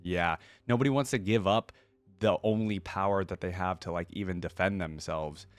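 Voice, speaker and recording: A man's voice: low-pitched, moderate pace, no room sound. A man hosting a reaction video, chatting informally and reading lore aloud with commentary.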